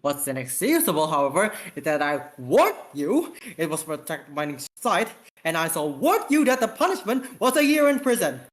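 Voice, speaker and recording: An amateur reader voicing an exasperated spaceship lieutenant from a sci-fi story, scolding in an indignant, halting way. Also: mid-range voice, big pitch swings, natural voice.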